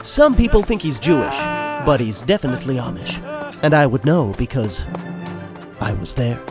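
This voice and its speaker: Animated, wry male narrator over music. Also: medium pitch, normal speed. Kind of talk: playful scripted storytelling, conversational.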